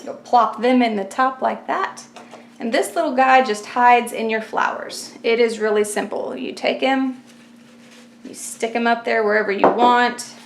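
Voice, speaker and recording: A woman's voice: fairly high pitch, measured pace, slight background noise. A woman hosting a craft tutorial, chatty and step by step, fighting a cold and losing her voice. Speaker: craft channel host. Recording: echoey room, faint kitchen clatter.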